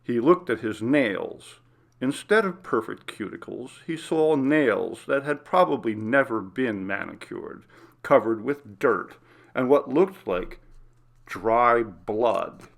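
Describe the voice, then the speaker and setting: A man's voice: mid-range voice, lively intonation, takes it slowly, creaky. A man reading a scripted horror story as its narrator.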